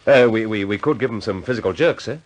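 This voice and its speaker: An actor in a radio drama playing a deferential ground-crew sergeant, halting and apologetic as he speaks. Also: fairly low pitch, lightly acted. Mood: hesitant, deferential.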